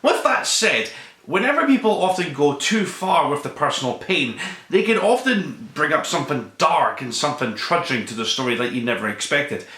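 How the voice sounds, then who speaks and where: A man hosting a solo podcast book review, speaking conversationally in an earnest, emphatic way with dramatic pauses. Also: fairly high pitch, very animated, sharp tone. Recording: roomy, echoing room sound.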